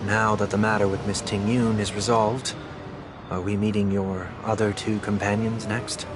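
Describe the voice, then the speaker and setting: A man's voice: on the low side, flat delivery, normal speed, noisy background, close to an everyday voice. An actor playing a cool, observant, reserved man in a video game, speaking tersely. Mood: cool, probing. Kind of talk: scripted video game voice acting. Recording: music behind the voice.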